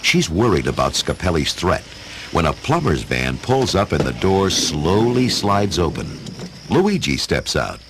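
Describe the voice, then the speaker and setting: A man's voice: low voice, big pitch swings, unhurried, background noise. A man reading scripted narration for a dramatic audio story.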